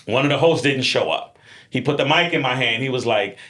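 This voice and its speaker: A man, born in Honduras, talks with swagger and plenty of slang. His voice sits in an ordinary middle pitch. He sounds hyped and self-assured as a podcast guest. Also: brisk. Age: 30s. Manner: energetic, boastful, streetwise slang. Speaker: podcast guest, media founder. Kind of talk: casual interview chat.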